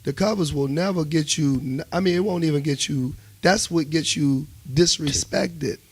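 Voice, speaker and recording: Emphatic higher-voiced Washington, DC man. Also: normal speed, husky, dry recording. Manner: emphatic, animated storytelling with repeated check-ins. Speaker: musician and bandleader, interview guest. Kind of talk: casual radio interview talk.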